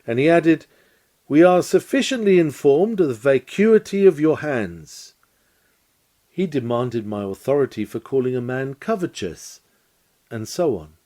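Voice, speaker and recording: A man reading a scripted nineteenth-century sermon lecture aloud, with measured, emphatic oratorical phrasing and formal diction. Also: mid-range voice, lively intonation, warm tone.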